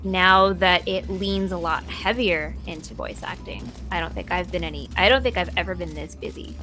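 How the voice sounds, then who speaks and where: A woman in a casual interview chat while playing a video game, thoughtful and halting with playful asides. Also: lowish voice, pushed and bright, poor audio. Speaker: interview guest, voice actor. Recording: music playing behind the voice.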